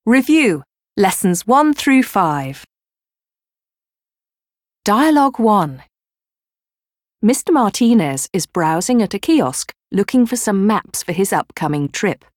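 A woman narrating a language course in a measured, instructional tone. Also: medium pitch, big pitch swings, a little mellow, no room sound, clean studio sound. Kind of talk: scripted narration. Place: dry studio.

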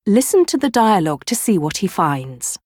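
A woman narrating a language course in a measured, instructional tone. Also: mid-range voice, big pitch swings, darkish tone, slightly rough, no room sound, clean studio sound. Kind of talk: scripted narration. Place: dry studio.

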